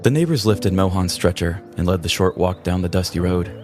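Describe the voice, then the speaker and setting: Deep-voiced male story narrator over music. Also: fairly quick. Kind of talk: scripted comedic story narration. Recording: music bed under the voice.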